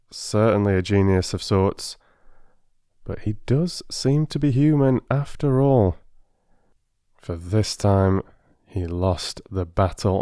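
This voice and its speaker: A man speaking as an audiobook narrator and producer, in a measured, courteous storytelling style. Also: low-pitched, dark tone, clean tone, dry recording, clean studio sound. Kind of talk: measured storytelling and listener address.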